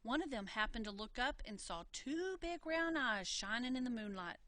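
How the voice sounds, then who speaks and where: A woman reading a folksy tale in an animated storytelling style, full of country dialect. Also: on the high side, normal speed, no echo. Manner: folksy, dialect-laden telling. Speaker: storybook reader.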